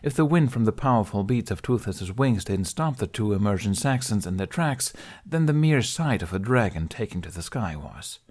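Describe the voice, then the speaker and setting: Male audiobook narrator, lowish pitch, scripted storytelling read. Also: expressive, moderate pace, few pauses, darkish tone.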